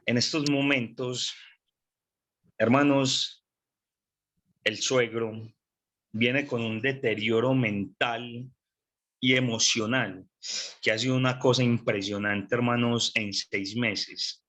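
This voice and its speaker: A man preaching a sermon, congested with a cold, speaking slowly and deliberately with repeated phrases and frequent throat clearing. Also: mid-range voice, steady tone, a bit bright.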